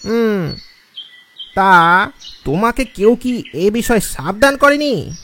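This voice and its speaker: A man playing a grave, knowing villager in a dramatised audio story, speaking in a measured, admonishing way. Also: high voice, sing-song, pushed and bright, a little hiss or hum, full character voice. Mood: concerned, questioning. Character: grave, admonishing village man who knows local lore. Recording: music and birdsong behind the voice.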